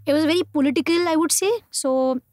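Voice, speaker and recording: Chatty Indian woman, high dry voice. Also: fairly even intonation, quick pace, darkish tone, no echo. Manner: chatty, many fillers and restarts. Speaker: interview guest, traveler. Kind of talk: casual conversational storytelling.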